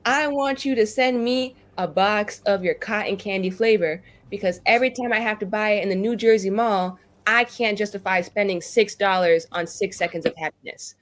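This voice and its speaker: A woman's voice: mid-range voice, normal speed, few pauses. A woman talking casually and playfully as a player on a tabletop roleplay show, with a theatrical, wordy, mock-pleading manner. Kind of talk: casual, playful group chat.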